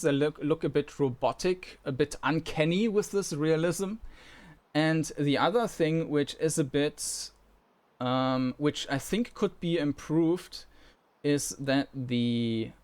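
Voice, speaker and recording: A man giving casual commentary on a game preview, with halting, hesitant phrasing. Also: a little high, clear, resonant tone.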